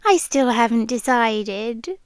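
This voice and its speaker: Woman doing a british yandere gf asmr, high soft whispery voice, real slow, sweet but creepy possessive. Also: lively intonation, clear, resonant tone, no echo. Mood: soft, secretive. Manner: soft, often whispery, deliberate pauses, quietly menacing and tender. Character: possessive yandere girlfriend, unseen voice in listener's head. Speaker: ASMR roleplay performer. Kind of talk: ASMR roleplay monologue, intimate and slow. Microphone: close mic, dry.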